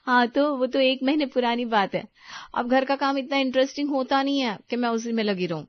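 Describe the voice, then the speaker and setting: A woman's voice: high voice, a little fast, no echo, muffled recording, voice pushed up, natural voice. A woman voicing a breezy, easily bored young housewife in story dialogue.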